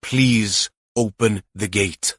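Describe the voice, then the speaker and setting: A man's voice: on the low side, slow, a bit bright, dry recording, clean studio sound. A man playing a tense, determined policeman who speaks in terse commands. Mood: urgent, commanding. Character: tense, determined policeman keeping himself under control.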